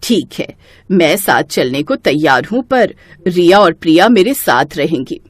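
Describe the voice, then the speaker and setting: A woman's voice: on the low side, sing-song, measured pace, dry recording, voice pushed down. A woman voicing an elderly grandmother, tired but firm and loving, in an earnest storybook manner. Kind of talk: storybook character acting.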